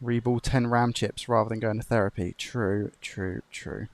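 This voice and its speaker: A man live-streaming electronics repair, soft-spoken and chatty, talking calmly to his chat as he works. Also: medium pitch, moderate pace, no echo. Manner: calm, chatty, talks to the chat while working.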